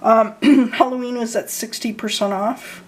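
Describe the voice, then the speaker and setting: A young woman from Canada chatting casually about her fragrance purchases, hoarse and losing her voice, hesitant with many ums and frequent throat clearing. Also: on the high side, steady tone, a little slow, a little hiss or hum, rough recording. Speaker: vlogger showing fragrance purchases. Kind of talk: casual haul chat.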